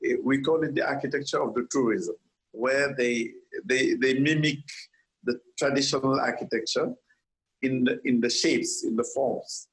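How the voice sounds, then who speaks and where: A man's voice: medium pitch, poor audio. A man from Senegal, an architect, answering interview questions earnestly, with frequent restarts and repeated words.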